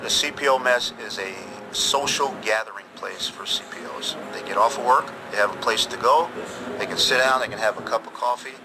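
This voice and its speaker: A man's voice: medium pitch, brisk. An American man speaking informally as a navy interviewee, explaining from his own experience. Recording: clear hum of background ambience.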